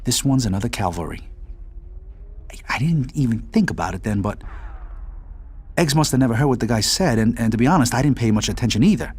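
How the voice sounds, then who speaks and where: A man's voice: medium pitch, expressive, fairly quick, long pauses, darkish tone, a little hiss or hum, natural voice. An actor playing a hardened, streetwise mobster and Vietnam veteran, swearing freely as he recounts his past in a gritty crime game. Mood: reflective, gritty. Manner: profane, streetwise.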